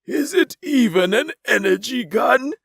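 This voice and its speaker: A man voicing a pompous, arrogant male alien with a grandiose, drawn-out, mocking manner. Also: very high voice, lively intonation, creaky, a bit bright, slightly rough, no room sound, clean studio sound, pitched above the performer's own voice, heavily acted.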